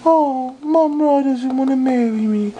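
A man voicing a giggly, vain young woman in a toy figure parody play, in a high, bubbly, breathless falsetto. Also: measured pace, dark tone, lo-fi sound, slight background noise. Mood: sad.

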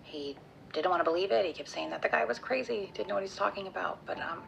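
An actress playing a worried woman who confides quietly and hesitantly, speaking in secret. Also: on the low side, fast, creaky, highs cut off, a little hiss or hum. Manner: hushed, hesitant.

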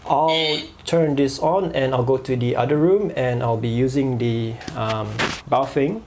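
A man reviewing a walkie-talkie at a desk, pausing often with fillers and restarts. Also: medium pitch, a little mellow, slight background noise. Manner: halting, many fillers and restarts. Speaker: gadget reviewer. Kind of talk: product review voice-over.

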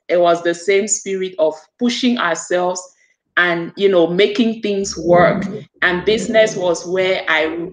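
A woman in her forties from Cameroon, a business coach and author, giving an earnest, motivational account of herself as a guest on a video call. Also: lowish voice. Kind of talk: interview guest telling her story. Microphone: webcam on a video call. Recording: echoey room over a video call.

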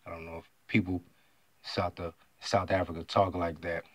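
A man from the United States talking straight to his audience about an online argument. He speaks emphatically and often clears his throat. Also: sits low, level tone, moderate pace, no room sound. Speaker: vlogger. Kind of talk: monologue retelling an online argument.

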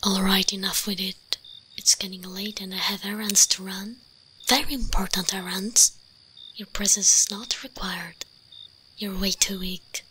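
A woman voicing a haughty, commanding vampire queen in a breathy, condescending whisper for an ASMR roleplay. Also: medium pitch, steady tone, noisy background, natural voice. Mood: stern, dismissive. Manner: imperious, condescending, slow deliberate phrasing.

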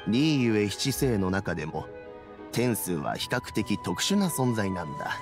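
An actor playing a kindly, wise elderly statesman in a video game, speaking in a measured, old-fashioned way. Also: lowish voice, very animated, over music. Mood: explanatory, calm. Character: dignified kindly elderly statesman, grey-haired, calm and wise. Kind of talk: Japanese video game voice acting.

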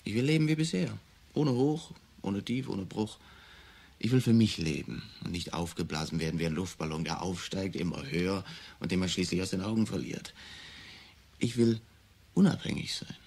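Stubborn sceptical psychic, lowish creaky voice, dry and terse, radio play. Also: male voice, moderate pace, a little mellow, full character voice. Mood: insistent. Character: stubborn, sceptical male small-time clairvoyant. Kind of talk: radio drama dialogue.

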